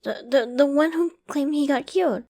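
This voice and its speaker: A woman acting a clingy, secretly obsessive young apocalypse survivor in a dramatic ASMR audio roleplay, with an emotional, halting, stammering delivery. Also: high voice, dark tone. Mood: nostalgic, lighter. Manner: emotional, halting and stammering, often dropping to whispers and sighs.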